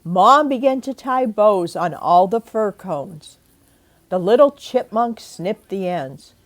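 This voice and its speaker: Slow-reading older woman with lively pitch. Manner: slow and deliberate, with asides and questions to young listeners. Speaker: grandmother reading a picture book aloud. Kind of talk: expressive children's storybook read-aloud. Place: indoors at home.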